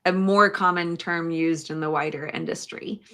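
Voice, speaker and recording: Lower-voiced woman hosting an interview. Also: steady tone, moderate pace, a bit bright. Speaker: podcast host, interviewer. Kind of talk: casual livestream interview, asking questions.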